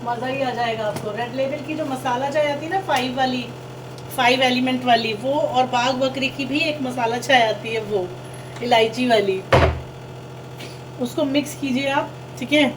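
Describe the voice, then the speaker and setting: A woman's voice: sits high, normal speed, noisy background. A young woman chatting casually to viewers while cooking in her home kitchen, explaining each step as she goes. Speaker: vlogger. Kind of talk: casual cooking vlog chat.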